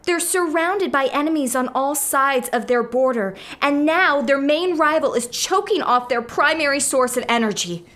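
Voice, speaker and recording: A woman's voice: high-pitched, moderate pace, few pauses, a bit bright. A woman playing an earnest, conscientious starship doctor in a comedic sci-fi radio play, precise and easily exasperated.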